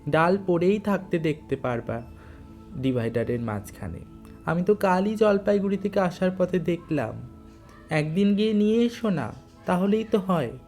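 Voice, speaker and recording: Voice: mid-range voice, expressive, normal speed, darkish tone, soft background music, voice pushed up, natural voice. A narrator voicing a helpful, plain-spoken male nursery worker in an audio story, advising colloquially with a North Bengal accent. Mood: helpful, persuasive. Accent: North Bengal (Jalpaiguri).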